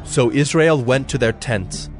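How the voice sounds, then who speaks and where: A man narrating a dramatized Bible in a measured, solemn storytelling style. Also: mid-range voice, soft background music.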